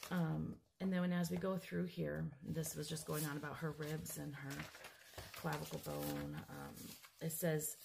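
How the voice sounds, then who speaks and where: A mother, hoarse and raspy from a cold, earnestly reading out a report and stumbling over medical terms. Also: deep voice, level tone, measured pace, background noise. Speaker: grieving mother seeking justice. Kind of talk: direct-to-camera update, reading from a report.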